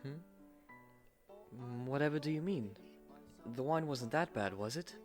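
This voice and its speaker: A man acting a devoted, earnest young gentleman newlywed in a romantic roleplay, with formal old-fashioned phrasing. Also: mid-range voice, animated, a little slow, soft background music, pitched above the performer's own voice, natural voice. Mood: puzzled.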